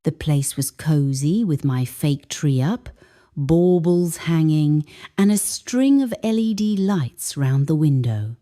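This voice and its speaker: Slow, mellow woman narrating. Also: low voice, lively intonation. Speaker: English teacher narrating a story. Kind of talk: scripted first-person story narration.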